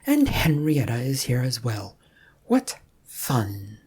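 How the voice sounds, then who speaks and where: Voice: medium pitch, huge pitch swings, slow, darkish tone, slightly rough, exaggerated voice. A storybook narrator voicing a bossy, combative princess with an imperious, theatrical manner. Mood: dramatic. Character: bossy, troubled, combative princess in torn dress.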